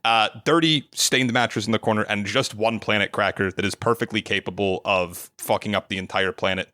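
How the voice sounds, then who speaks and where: A man's voice: lowish voice, fairly even intonation, fairly quick, pushed and bright, dry recording. A man playing in a tabletop game, playfully describing his character's actions and mouthing sound effects. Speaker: podcast guest, player.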